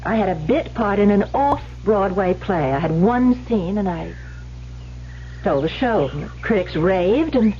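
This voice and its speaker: An actress playing a guarded, proud and defiant former stage actress in an old-time radio drama, clipped and hesitant when pressed. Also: female voice, medium pitch, animated, a little slow, warm tone, faint music behind, natural voice. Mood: wistful, reluctant. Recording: muffled vintage radio broadcast, faint hum.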